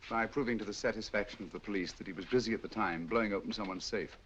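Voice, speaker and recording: An actor playing a sharp, cool-headed English detective with crisp, measured, lightly ironic speech, in a 1940s film. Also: male voice, fairly low pitch, slight background noise, close to an everyday voice.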